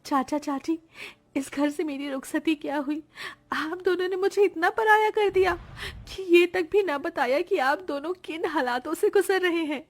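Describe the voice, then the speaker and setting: A woman's voice: very high-pitched, normal speed, few pauses. A woman voicing an emotional, devoted woman in a melodramatic cartoon family tale, with an earnest, plaintive delivery.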